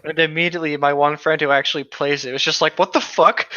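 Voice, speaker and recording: Bright sharp voice, medium pitch, just hanging out reading with friends, muffled lo-fi mic. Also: steady tone, fast, no room sound. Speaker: co-host of a comedic group read-along. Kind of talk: casual chat and reading aloud with friends.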